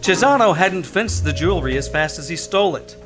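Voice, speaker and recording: An actor playing a tough, wry private detective in an old radio crime drama, sardonic in dialogue and narration. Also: male voice, high-pitched, lively intonation, brisk, over music. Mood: dry narration. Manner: sardonic, hard-boiled. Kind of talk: 1940s-50s radio detective drama acting.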